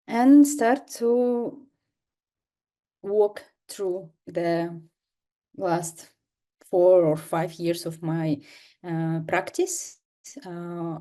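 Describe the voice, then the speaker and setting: A young adult woman from northern Kazakhstan, a native Kazakh speaker, giving a seminar lecture over a video call, halting with many fillers and restarts. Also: on the low side, warm tone. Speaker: guest lecturer, artist. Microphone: computer mic on video call.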